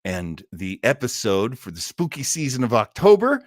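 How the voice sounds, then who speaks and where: A man's voice: medium pitch, wildly swooping pitch, normal speed, no room sound, studio quality. A man casually introducing old-time radio shows as a host, in a rambling way.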